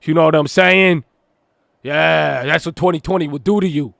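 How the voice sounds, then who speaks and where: A man joking in a put-on deep voice, playing a boastful grown-up version of himself. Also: normal speed, vocal fry, a bit bright, no room sound, clean studio sound. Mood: mock swagger.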